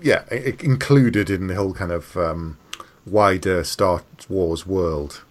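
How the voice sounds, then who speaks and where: Male podcast host, lowish voice, relaxed conversational interviewing. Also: measured pace. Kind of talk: casual interview chat.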